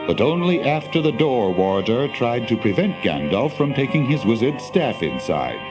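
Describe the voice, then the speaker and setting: Low-voiced male storyteller over music. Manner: measured, literary delivery. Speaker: narrator. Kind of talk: scripted storybook narration for audio drama.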